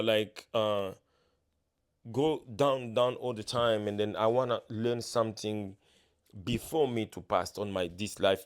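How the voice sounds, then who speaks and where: A man's voice: lowish voice, clean tone. Earnest non-native English-speaking man. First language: not English. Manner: earnest, halting, many restarts and fillers. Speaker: motivational speaker. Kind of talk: casual motivational monologue.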